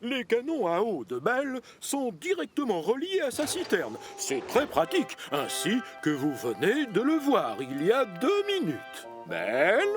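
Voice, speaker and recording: A man voicing a pompous, authoritative railway boss who explains things proudly in an animated children's cartoon. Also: high voice, a little slow, few pauses, faint music behind, full character voice. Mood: proud, official. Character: jovial, authoritative railway boss showing off his fleet.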